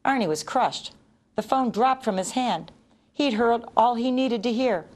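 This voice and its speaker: A woman narrating a children's story as an expressive read-aloud. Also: on the high side, unhurried. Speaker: storybook reader.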